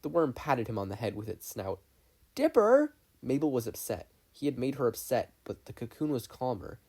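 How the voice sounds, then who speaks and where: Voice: medium pitch, fairly quick, mellow. A narrator reading a fan fiction story aloud as scripted narration.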